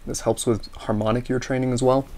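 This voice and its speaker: A man with a slightly raspy voice, teaching ear training: he explains in a relaxed, practical way and sings solfege scales and intervals. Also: medium pitch, a little fast. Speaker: music teacher. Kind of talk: instructional talk with sung solfege drills.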